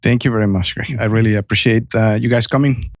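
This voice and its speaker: A man's voice: on the low side, steady tone, fast, no room sound, clean studio sound. A man in his fifties from Mexico with a Spanish accent, telling his story as a guest in a podcast interview, earnest and full of restarts. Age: 50s. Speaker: guest entrepreneur.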